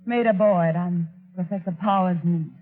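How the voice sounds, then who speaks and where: An actress playing a tough, accusing young woman with a sharp, defiant manner in an old radio drama. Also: on the low side, moderate pace, soft background music, close to an everyday voice. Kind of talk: 1940s radio acting. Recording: tinny phone-like old radio recording.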